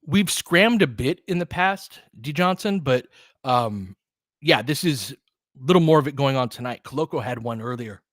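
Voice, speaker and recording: A man's voice: mid-range voice, lively intonation, moderate pace, fairly bright tone, no echo. American man, dry-sounding casual basketball commentary. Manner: conversational, analytical, explains tactics. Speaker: basketball commentator and host of a live stream. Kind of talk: casual live play-by-play commentary with chat.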